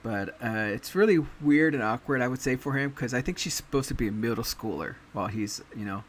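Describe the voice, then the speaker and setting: A man hosting a casual anime chat, talking in a relaxed way with many fillers and pauses. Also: mid-range voice. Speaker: podcast host.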